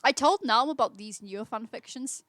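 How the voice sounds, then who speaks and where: A woman's voice: a little high, moderate pace, a bit bright, no echo, studio quality. A woman hosting a livestream, chatting casually and reading aloud informally, with small stumbles and self-corrections. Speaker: livestream host.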